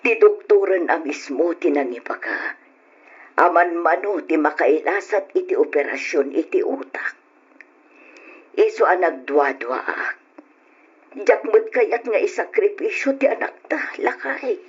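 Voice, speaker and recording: A woman playing an anxious elderly wife and mother, fretful and plaintive with a raspy voice, in an old-style radio drama. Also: lowish voice, expressive, measured pace, heavily acted. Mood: fearful, doubtful. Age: older. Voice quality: husky, raspy. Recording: muffled radio recording.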